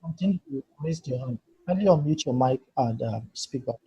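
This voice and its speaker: A man's voice: medium pitch, expressive, a little fast, mellow, slightly rough, lo-fi sound. A man teaching a live online workshop over a video call, patiently walking participants through building a form and calling on them by name for feedback. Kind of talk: live screen-share tutorial. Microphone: computer mic on a video call.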